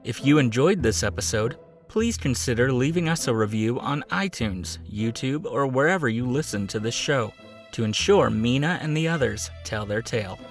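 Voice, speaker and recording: A male announcer reads a clear, scripted promotional outro with a practiced delivery. Faint music plays under his voice. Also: medium pitch, lively intonation, moderate pace, few pauses. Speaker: podcast announcer.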